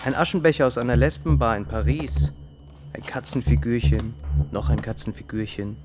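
An actor playing an observant, dryly wry companion in a radio drama, itemising things in a deliberate way. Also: male voice, mid-range voice, over loud music, natural voice.

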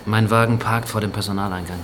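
A man's voice: lowish voice, steady tone, quick pace, background noise, natural voice. An actor playing a familiar, teasing male friend in a radio drama, speaking in a casual, confiding way. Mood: confiding, hurried.

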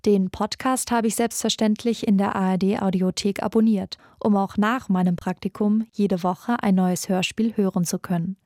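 A woman's voice: mid-range voice, dark tone, no room sound, studio quality. A young woman reading scripted documentary narration for a podcast. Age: young adult. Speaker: podcast narrator, radio intern.

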